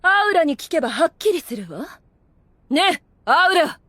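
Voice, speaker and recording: A woman's voice: extremely high pitch, big pitch swings, measured pace, a bit bright, no room sound, exaggerated voice. A woman playing a proud, scheming demon woman in an anime audio drama, with theatrical, formal feminine speech. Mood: accusing.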